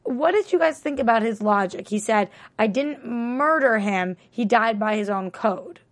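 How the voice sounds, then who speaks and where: A woman co-hosts a casual TV recap with a fairly high voice. She talks chattily, keeps asking the others questions, and laughs easily. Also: lively intonation, moderate pace. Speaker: co-host.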